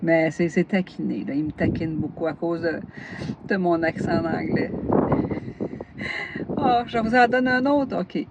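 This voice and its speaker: A woman's voice: on the low side, a little slow, long pauses, dull audio, heavy background noise. Tired, laughing Québécois woman. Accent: Québécois French. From northern Gaspésie, Quebec. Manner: chatty, laughs often, jumps between topics. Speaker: knitting vlogger. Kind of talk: casual chat.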